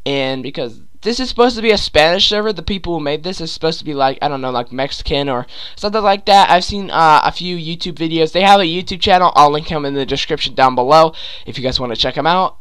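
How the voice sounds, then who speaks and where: A man's voice: fairly high pitch, lively intonation, a little fast, fairly bright tone, clean tone. A young man with a sore throat and stuffy nose, rambling with lots of fillers while walking through a screen-recorded game tutorial. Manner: rambling, many fillers and restarts. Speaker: gaming YouTuber.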